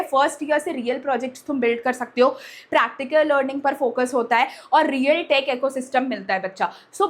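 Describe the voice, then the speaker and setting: A woman's voice: high voice, fairly bright tone. A woman speaking directly to students as an online teacher, in a familiar elder-sister way with frequent check-in tags and English mixed in. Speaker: online teacher and channel host. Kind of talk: direct-to-audience vlog talk with a sponsored pitch.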